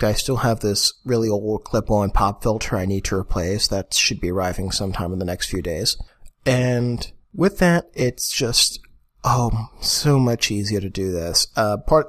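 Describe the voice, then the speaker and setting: A young man hosting a solo podcast, talking casually into a condenser mic on a boom arm at home, mildly grumbling, with fillers. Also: normal speed, fairly bright tone. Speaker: podcast host. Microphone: USB condenser mic on a boom arm.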